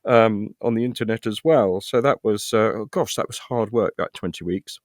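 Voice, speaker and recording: British male guest, low register, conversational and hesitant, self-deprecating, very little room sound. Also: normal speed, no room sound, studio quality. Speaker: interview guest, actor and writer. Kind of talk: casual conversational interview.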